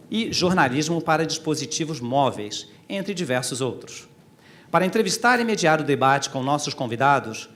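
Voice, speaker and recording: A middle-aged man with a Brazilian Portuguese accent, hosting a panel debate and reading guests' credentials in a measured, formal way. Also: mid-range voice, fairly bright tone. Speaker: host and debate moderator.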